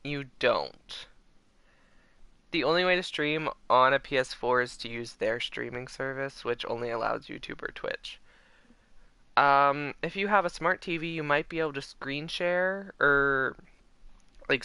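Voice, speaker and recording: A man's voice: medium pitch, measured pace, bright, forceful tone, clean tone, dry recording. A man chatting casually with viewers as he streams a video game. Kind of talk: casual livestream chat.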